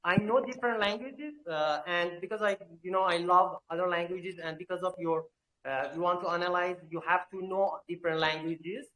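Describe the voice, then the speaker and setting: A man's voice: high-pitched, moderate pace, sharp tone, low-quality recording. Hesitant man full of filler sounds. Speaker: crypto project representative. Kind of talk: live online AMA answers.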